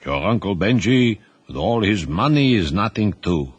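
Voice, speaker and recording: A man's voice: on the low side, big pitch swings, normal speed, breathy edge, dry recording, muffled recording. A man voicing a weary, solemn old immigrant grandfather in an audio drama. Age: older.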